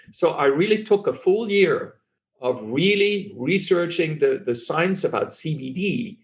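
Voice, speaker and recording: Middle-aged german guy talking english, kinda high voice, sure of himself, keeps restarting his sentences. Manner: earnest, self-assured, restarts phrases often. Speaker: physician guest.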